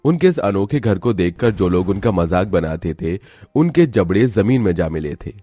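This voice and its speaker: A man's voice: sits low, lively intonation, moderate pace, warm tone, breathy edge, no echo, studio quality. A man narrating a scripted moral story as a storyteller.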